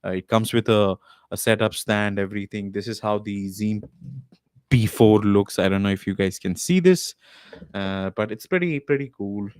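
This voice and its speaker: Low-voiced man hosting a live stream. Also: moderate pace, darkish tone, clean tone, no echo, studio quality. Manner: loose and rambling, with frequent "uh" and restarts. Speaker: podcast host. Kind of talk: casual live-stream talk. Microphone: desk mic with podcast recorder.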